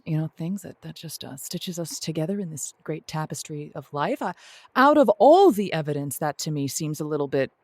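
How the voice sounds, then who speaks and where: A woman acting as female call-in show host, lowish voice, close dry sound, kindly, flustered, wry, halting delivery. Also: animated, darkish tone, no room sound. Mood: puzzled, tactful. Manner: halting, wry, tactful, stammering over delicate words. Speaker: radio host. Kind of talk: improvised comic call-in radio show.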